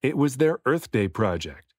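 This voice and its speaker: Animated man reading a children's storybook. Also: mid-range voice, normal speed, no echo, studio quality. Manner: expressive, varies delivery for emphasis. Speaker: children's book reader, dad and voice actor. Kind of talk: read-aloud storybook narration.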